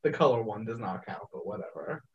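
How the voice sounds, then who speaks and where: A man's voice: lowish voice, very animated, a little fast, muffled recording. A young man co-hosting a podcast, chatting in an easygoing way with light banter. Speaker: podcast co-host. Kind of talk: casual chat.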